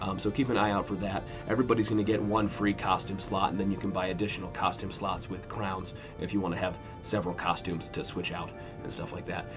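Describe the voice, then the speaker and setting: A man in his thirties hosts a casual gaming news roundup, his voice sitting on the low side and a little stuffy from a cold he is still shaking off. He talks in a loose, rambling way, stopping to correct himself. Clear music plays behind him. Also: fast talker, few pauses. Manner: relaxed, rambling, self-correcting. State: recovering from a cold, sniffly. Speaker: weekly gaming news host.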